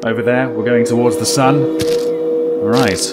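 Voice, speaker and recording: Man doing wry gameplay commentary over loud music. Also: mid-range voice, fairly even intonation, moderate pace. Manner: wry, reactive asides. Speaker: gaming video host. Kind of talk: casual let's play commentary. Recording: loud game music behind. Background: game music.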